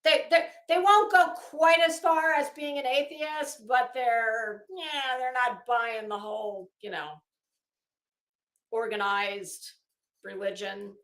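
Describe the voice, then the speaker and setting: A woman host reading aloud and adding sarcastic, emphatic, halting commentary as she goes. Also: a little high, fairly even intonation, a bit bright. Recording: echoey room sound.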